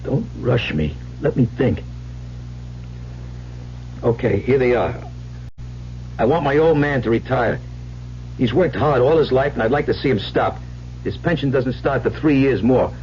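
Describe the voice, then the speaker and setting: An actor playing a frightened, weak-willed working man in a vintage radio drama, agitated, halting and pleading. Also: mid-range voice, animated, darkish tone, lightly acted. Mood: pleading, uneasy. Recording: muffled old radio recording with hiss.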